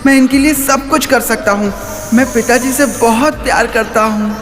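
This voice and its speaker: A woman's voice: a little high, normal speed, close to an everyday voice. A woman voicing a devoted, eager young male elephant in a Hindi cartoon, with an earnest, affectionate manner. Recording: music under the voice.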